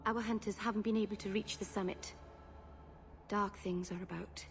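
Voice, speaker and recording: A woman's voice: medium pitch, fairly even intonation, moderate pace, faint music behind, close to an everyday voice. A woman playing a young elven mage in a fantasy video game, nervous and earnest, rambling and correcting herself apologetically. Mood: solemn, earnest. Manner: rambling, hesitant, self-correcting.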